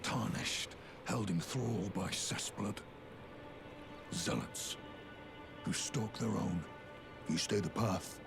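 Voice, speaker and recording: An actor playing a stoic, weathered warrior hunter in a fantasy game, speaking in a solemn, ominous, measured way. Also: male voice, fairly low pitch, vocal fry. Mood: solemn warning. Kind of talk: scripted fantasy game acting. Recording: background music under the voice.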